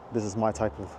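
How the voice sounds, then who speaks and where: A man's voice: lowish voice, slight background noise. An American man vlogging outdoors while walking, talking in a rambling, chatty way with lots of fillers. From United States. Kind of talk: casual walking vlog. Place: outdoors in a city park walkway.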